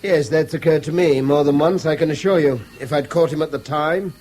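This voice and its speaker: A man's voice: on the high side, fairly quick, darkish tone, faint music behind, close to an everyday voice. A British actor in a 1970s radio drama playing a guarded, conscientious man with a polite, hesitant manner. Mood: sober, wary.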